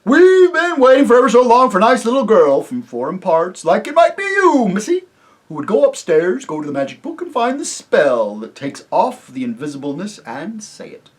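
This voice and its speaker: A reader doing a loud blustering invisible chief. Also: medium pitch, very animated, normal speed, voice pushed up, close to an everyday voice. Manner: loud, blustering, rustic grammar. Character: boisterous invisible male chief, rough.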